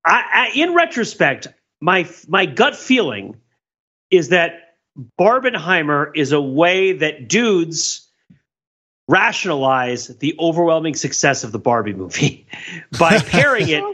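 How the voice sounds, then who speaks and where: A man's voice: a little high, very animated, takes it slowly, bright, forceful tone, slightly rough. A man co-hosting a podcast, giving casual opinions in an amused, hedging way, on a slightly dull microphone. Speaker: podcast co-host.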